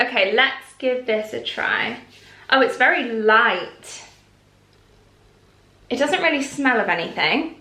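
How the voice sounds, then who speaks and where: A young woman with a bright, clear voice chats her way through a haul in a casual, rambling way. Her talk sits a little high and stays calm and relaxed. She is recorded in a room with a noticeable echo. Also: takes it slowly, long pauses. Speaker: vlogger.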